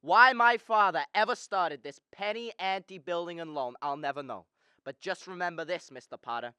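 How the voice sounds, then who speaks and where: An idealistic young man played by a student actor in a radio play, speaking with defiant conviction. The voice is bright, clear and recorded dry. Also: medium pitch, normal speed, no echo, natural voice. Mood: indignant. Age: young adult. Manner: impassioned, defiant. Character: earnest, principled, outspoken young man. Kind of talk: radio drama acting.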